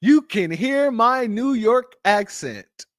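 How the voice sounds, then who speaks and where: Emphatic livestream host, very lively pitch. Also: takes it slowly, dry recording, studio quality. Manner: heated, emphatic. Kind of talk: livestream commentary.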